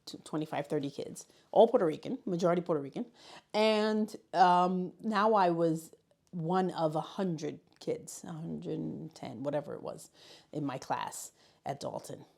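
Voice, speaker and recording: A woman from New York City speaks in a low voice with a gravelly edge. She talks reflectively in an interview about how she came to teaching, circling back and restarting as she thinks. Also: unhurried, darkish tone. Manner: reflective, storytelling, with restarts and fillers. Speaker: high school learning specialist. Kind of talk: interview conversation.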